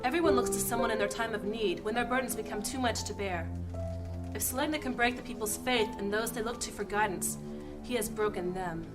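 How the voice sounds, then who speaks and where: Grave, higher-voiced fantasy lady, acted by a woman. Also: fairly quick, natural voice. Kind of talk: fantasy audio drama acting. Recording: loud music over the voice.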